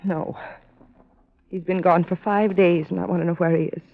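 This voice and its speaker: An actress playing a young, worried wife, earnest and defensive, in old-time radio drama style. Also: female voice, fairly low pitch, steady tone, normal speed, gravelly. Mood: wounded, bitter. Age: young adult. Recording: muffled vintage radio recording.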